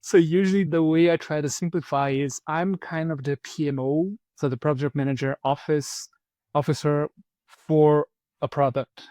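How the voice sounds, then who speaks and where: Man from Brazil, somewhat high voice, dry close sound, thoughtful interview answers with small restarts. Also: steady tone, normal speed, warm tone, dry recording. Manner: thoughtful, repeats words while searching for them. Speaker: podcast guest, program manager.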